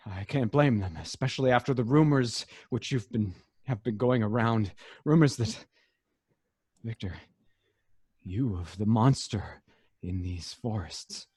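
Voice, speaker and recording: An actor playing a scientist's worried, loyal friend in an old-time radio drama, with a husky voice and earnest, urgent delivery. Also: male voice, lowish voice, expressive, takes it slowly, darkish tone, no room sound, clean studio sound, exaggerated voice. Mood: anxious warning.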